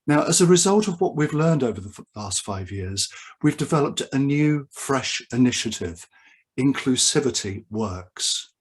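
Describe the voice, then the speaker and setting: A man hosting an online webinar, his voice a little husky. He speaks in a measured, formal presenter's manner and repeats his key points so they stick. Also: medium pitch. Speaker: webinar host. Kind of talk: formal welcome and introduction. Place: home or office video call. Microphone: video call mic.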